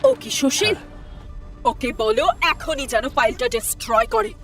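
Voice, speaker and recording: A woman's voice: high-pitched, huge pitch swings, normal speed, fairly bright tone, full character voice. An actress playing a cruel, commanding woman villain in a dramatic cartoon. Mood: commanding, smug. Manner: menacing, theatrical, commanding. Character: cruel, threatening female villain blackmailing a police inspector. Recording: music under the voice.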